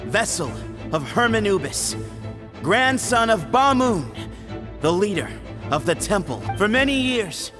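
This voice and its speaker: A man's voice: high voice, big pitch swings, measured pace, music in the background. An actor playing a confident young male temple heir in a video game, speaking in a self-assured and earnest way. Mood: earnest, resolute.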